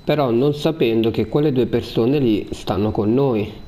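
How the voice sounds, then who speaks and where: A man's voice: mid-range voice, normal speed, dark tone. A man roleplaying in an online game over voice chat. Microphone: gaming voice chat.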